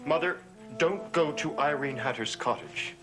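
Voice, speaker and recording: An actor playing an evasive young son, hesitant and placating, in gothic soap opera style. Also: male voice, fairly high pitch, lively intonation, unhurried, over music. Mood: guarded, placating. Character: evasive young man, placating his mother.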